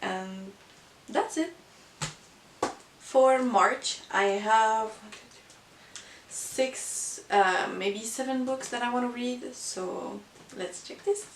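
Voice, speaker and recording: A woman who is not a native English speaker, chatting casually about books as a vlogger in a halting, hesitant way. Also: fairly high pitch. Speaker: book vlogger. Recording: roomy, distant mic with hiss.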